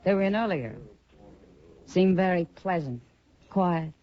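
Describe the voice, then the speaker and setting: A woman's voice: lowish voice, expressive, a little slow. An actress playing a teasing, wry saloon woman in a 1950s radio western. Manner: teasing, playful. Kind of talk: 1950s radio drama acting. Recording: muffled old radio broadcast.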